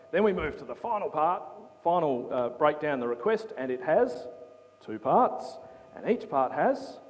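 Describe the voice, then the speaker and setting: A man's voice: medium pitch, a little mellow. A man giving a conversational Bible talk to a congregation, often checking in with his listeners. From England. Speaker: preacher. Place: church hall. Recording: long hall reverb.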